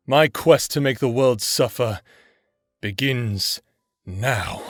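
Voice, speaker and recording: An actor playing a brooding, vengeful necromancer in an audio roleplay, speaking solemnly with theatrical pauses. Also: male voice, a little high. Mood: brooding, resolute. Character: brooding, vengeful male necromancer, grieving and lovelorn. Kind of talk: anime-style audio roleplay acting, dramatic monologue. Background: music.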